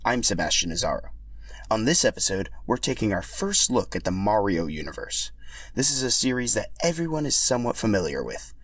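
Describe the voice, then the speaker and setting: A man's voice: lowish voice, sing-song, fairly quick, fairly bright tone, breathy edge, dry recording. A man hosting a podcast and reading scripted narration as a storyteller.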